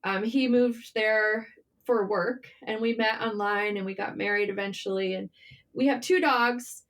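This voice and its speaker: A woman's voice: mid-range voice, steady tone, a little slow, fairly bright tone. A middle-aged American woman chatting informally as an interview guest, telling personal stories with emphatic repetition. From Michigan, United States. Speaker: podcast guest, writer. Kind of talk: conversational interview storytelling.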